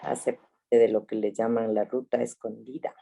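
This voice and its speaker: A woman in her sixties, a family doctor from Ecuador, telling her story reflectively with soft laughs over a video call. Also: very deep voice, flat delivery, moderate pace, vocal fry. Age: 60s.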